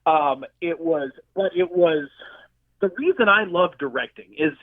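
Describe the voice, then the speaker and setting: A man who directs films, a guest telling stories in a casual interview, animated and swearing freely. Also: on the high side, unhurried, no echo. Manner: animated, profane storyteller. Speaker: film director and effects artist, guest. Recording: dry tinny phone-like line.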